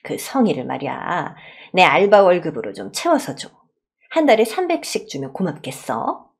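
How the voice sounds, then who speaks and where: A woman's voice: lowish voice, very animated, moderate pace, gravelly. A woman voicing a greedy, bossy middle-aged mother-in-law in a radio-style story, nagging and condescending. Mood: wheedling, demanding.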